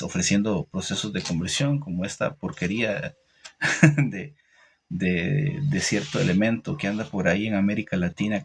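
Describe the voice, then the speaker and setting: A man with a Costa Rican Spanish accent giving an informal, didactic religious talk, opinionated, pausing and repeating phrases as he goes. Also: deep voice, vocal fry, slight background noise. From Costa Rica. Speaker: religious teacher hosting a livestream. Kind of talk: informal religious talk answering live comments.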